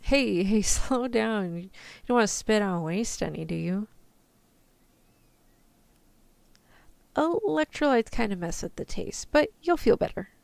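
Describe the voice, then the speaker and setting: A dry, close-sounding woman playing a scrappy field rescuer with a creaky edge to her voice. She is tough and protective, talking in easygoing slang as in an ASMR roleplay. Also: medium pitch, animated, moderate pace, few pauses, darkish tone, no room sound. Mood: caring, easygoing. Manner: casual slangy reassurance, turning threatening.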